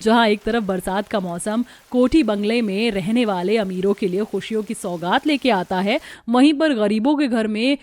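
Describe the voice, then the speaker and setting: A woman's voice: mid-range voice, normal speed, few pauses, darkish tone. A woman reading scripted narration for a Hindi cartoon family story.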